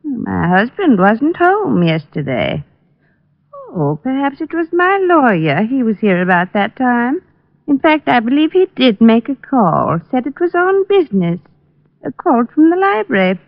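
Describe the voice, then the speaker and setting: High-voiced radio society wife. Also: female voice, very animated, unhurried, no echo. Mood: evasive. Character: poised, evasive well-off married society woman. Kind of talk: 1940s radio drama acting. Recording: dull dry old radio recording.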